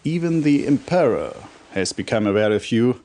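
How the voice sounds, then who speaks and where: Game cutscene role: male actor as a stern military commander, formal and authoritative, measured delivery with very lively intonation. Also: mid-range voice, natural voice. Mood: approving, formal. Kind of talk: video game cutscene acting, scripted briefing. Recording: compressed old game video audio.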